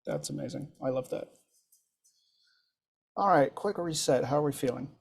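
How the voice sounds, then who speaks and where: A man whose first language is French, leading an instructor training session in a relaxed, encouraging way over a video call. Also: a little high, moderate pace, creaky, breathy edge. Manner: relaxed, encouraging, thinks aloud with ums. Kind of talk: casual group video-call discussion.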